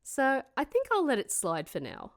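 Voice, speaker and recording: A woman's voice: high voice, huge pitch swings, normal speed. A woman playing a grumpy, sardonic, hungover young woman in a comedic fantasy audio drama, sarcastic and dry. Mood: exasperated. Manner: sarcastic, mock-sweet then dry.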